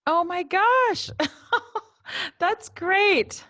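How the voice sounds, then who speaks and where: A woman's voice: extremely high pitch, expressive, very slow, pushed and bright, no room sound. A woman hosting a casual conversational interview, responding to her guest in a supportive, encouraging way. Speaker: podcast host, interviewer.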